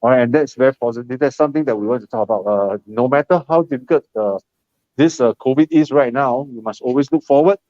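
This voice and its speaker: A man's voice: mid-range voice, quick pace, mellow, dry recording. A man with a Singaporean English accent hosting a casual live stream, chatty and encouraging, with many fillers. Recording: muffled lo-fi live stream, dry.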